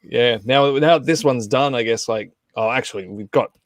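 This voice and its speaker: Male host, mid-pitched, casual and slightly halting, dry close sound. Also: no echo. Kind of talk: casual group chat.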